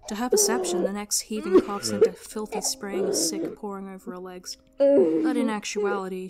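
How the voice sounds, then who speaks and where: A woman narrating a story as a dramatic reading, in an emotive, intense manner. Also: mid-range voice. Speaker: narrator and writer. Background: prominent music.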